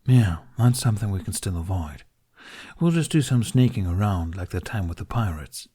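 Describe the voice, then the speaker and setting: A man's voice: low-pitched, lively intonation, moderate pace, warm tone, slightly rough. A man voicing a proud, protective, dryly sarcastic dragon in an audiobook, speaking in blunt, formal grumbles. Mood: decided, grumbling. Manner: grumbling, declarative, formal phrasing.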